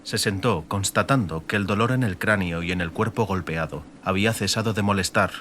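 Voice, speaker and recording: Low-voiced Castilian male audiobook narrator. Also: fairly bright tone, slight background noise. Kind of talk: scripted dramatic narration of fantasy fiction.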